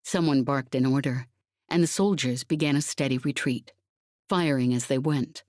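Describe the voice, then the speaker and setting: A woman's voice: a bass voice, normal speed, darkish tone, no room sound, clean studio sound. A woman reading an audiobook, narrating fiction in a dramatic scripted style.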